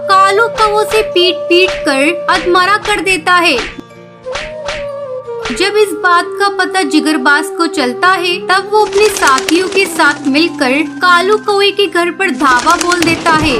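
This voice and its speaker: A woman's voice: very high voice, slow pace, music in the background. A woman narrator reading a scripted children's cartoon story.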